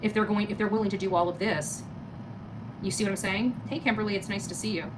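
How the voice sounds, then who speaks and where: American woman, middle-aged, quick pace, emphatic and indignant, casual livestream host, noisy home phone recording. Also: mid-range voice, steady tone. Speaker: live stream host. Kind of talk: casual livestream commentary, chatting with viewers. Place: small living room, indoors.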